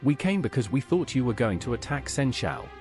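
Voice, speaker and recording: A man's voice: medium pitch, big pitch swings, fairly quick, a little mellow, soft background music, lightly acted. An actor playing a plain-spoken male adventurer in a video game, with a direct, questioning manner.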